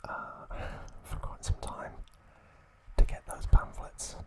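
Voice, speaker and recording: Male ASMR host. Unvoiced, breathy whisper throughout, very unhurried phrasing with pauses, close on the mic. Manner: slow, hushed, deliberate phrasing with pauses. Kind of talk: ASMR, whispered trivia and show-and-tell.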